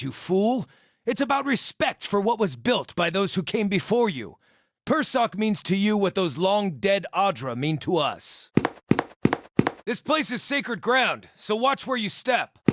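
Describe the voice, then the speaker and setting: A man voicing an irreverent, excitable, furry shapeshifting druid in a video game, with a wry, cheeky, theatrical delivery. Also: high-pitched, dry recording, clean studio sound, heavily acted. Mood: indignant. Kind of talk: video game character dialogue and battle barks.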